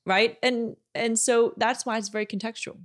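A woman in her thirties, an etiquette expert guest, talking in a measured, explanatory way and often checking in with "right?", recorded on a boom mic in a studio room. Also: steady tone. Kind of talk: interview conversation. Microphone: desk microphone on boom arm.

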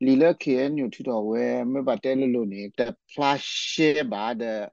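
Creaky, mellow man in muffled audio. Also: medium pitch, fast talker, no room sound. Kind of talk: spontaneous spoken commentary on radio. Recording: slightly muffled shortwave radio programme audio.

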